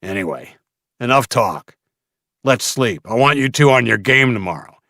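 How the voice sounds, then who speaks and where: A man's voice: medium pitch, lively intonation, normal speed, pushed and bright, dry recording, pitched above the performer's own voice. Slaver crew leader, played by a male narrator: blunt, callous, dismissive, dry close sound. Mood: callous, commanding.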